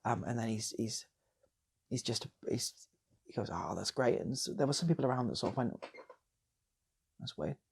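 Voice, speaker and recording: A man's voice: medium pitch, lively intonation, normal speed, creaky, pauses often, darkish tone, slightly rough. A British man improvising a comic story, rambling and repeating phrases for effect as he goes. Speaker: channel host and storyteller.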